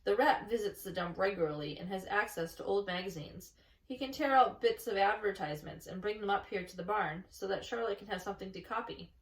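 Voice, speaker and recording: A woman's voice: medium pitch, fairly quick, close to an everyday voice. A woman voicing a blunt, persuasive old sheep, lecturing firmly in a storybook reading. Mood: reasoned, scheming. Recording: echoey room, distant mic.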